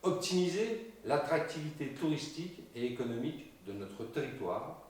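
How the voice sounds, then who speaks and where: A man giving a prepared campaign presentation in a measured, didactic way with formal phrasing, as a local candidate. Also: fairly high pitch, lively intonation. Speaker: local election candidate presenting the list's programme. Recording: very echoey room, distant microphone.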